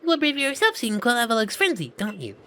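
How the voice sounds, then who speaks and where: A woman's voice: fairly high pitch, sing-song, rapid-fire, fairly bright tone. A woman voicing an eager, playful child warden for a fan-made game series, chirpy and forward.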